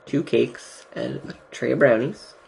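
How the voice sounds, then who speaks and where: A man's voice: medium pitch, very animated, measured pace, gravelly, darkish tone, slight background noise, poor audio. A young man chatting casually and playfully, often laughing. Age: young adult. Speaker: co-host. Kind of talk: casual chat.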